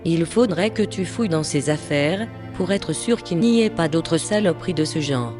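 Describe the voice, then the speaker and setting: Bossy, caring friend played by an actress over music. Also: female voice, low voice, animated, normal speed. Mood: decisive, concerned. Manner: no-nonsense, teasing, familiar. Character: brisk, bossy, caring woman friend taking charge. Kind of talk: French audio drama acting.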